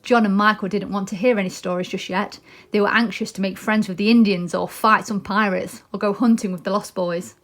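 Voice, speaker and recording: A woman's voice: medium pitch, steady tone, a little fast. A woman narrating a children's storybook expressively. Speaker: storybook reader.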